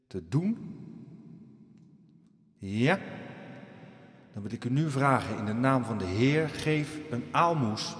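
An actor playing an earnest village pastor in a radio drama, preaching solemnly and haltingly to his congregation. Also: medium pitch, natural voice. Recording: echoey church hall reverb.

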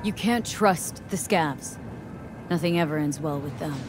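A woman's voice: on the low side, noisy background, natural voice. An actress playing a cocky, hard-edged female mercenary in a video game, sardonic and unflappable. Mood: frank. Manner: sardonic, street-slang, unflappable. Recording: music behind.

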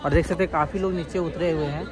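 A man's voice: on the high side, steady tone, quick pace. A man in his thirties making a casual travel vlog, chatting and explaining as he films himself with a phone at arm's length on railway platforms and inside a train. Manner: chatty, explains as he films, mixes in English words. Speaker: travel vlogger. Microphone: phone held at arm's length. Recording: loud crowd and train noise on a phone. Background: crowd noise and train sounds.

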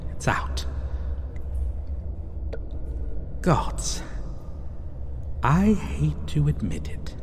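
Casting: male actor as menacing five-hundred-year-old vampire lover for gothic audio roleplay, possessive, highly animated delivery, heard in a long reverberant hall. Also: a little hiss or hum. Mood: scornful, irritated. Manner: slow, theatrical, swearing, swinging between whispers and cries. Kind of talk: dramatic gothic ASMR roleplay.

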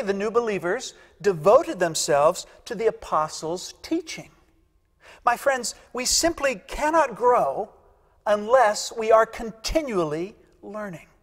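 Deliberate, high-voiced preaching man. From United States. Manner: emphatic, gesturing preacher, repeats key phrases. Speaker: pastor. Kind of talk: sermon. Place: large church sanctuary, indoors. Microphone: lapel mic. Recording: long church reverb.

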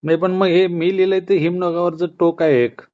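A man's voice: sits high, fairly even intonation, fairly quick, phone-quality sound. A man in a casual phone conversation about politics, questioning and interjecting in disbelief, recorded off the call. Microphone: telephone.

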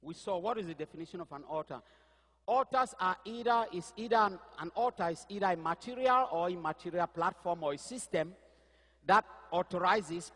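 High-voiced preacher on a church stage. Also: male voice, big-room sound. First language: not English. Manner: animated, gesturing preacher who searches aloud for words. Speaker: pastor. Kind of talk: sermon. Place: stage of a large church hall. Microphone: headset mic.

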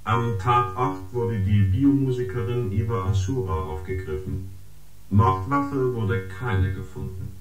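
A man's voice: lowish voice, measured pace, lightly acted. A man voicing an impassive computer in a science-fiction radio drama, formal and detached. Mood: clinical. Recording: echoing, loud music underneath.

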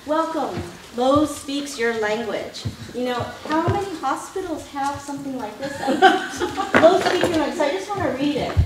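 A middle-aged woman giving a lively workshop talk, full of anecdotes and laughter, moving about as she speaks in a classroom. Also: high-pitched. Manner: animated, gesturing, laughing often. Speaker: workshop presenter. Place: classroom with projector screen. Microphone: far camera, no visible mic. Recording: echoey room from far off, noisy.

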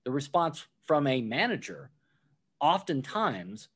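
A man's voice: on the high side, very animated, slow pace, a bit bright, breathy edge. A man who wrote a book on restaurant management, talking it through as a podcast guest and walking through examples step by step. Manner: explains with worked examples, acts out quoted replies. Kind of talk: conversational podcast interview.

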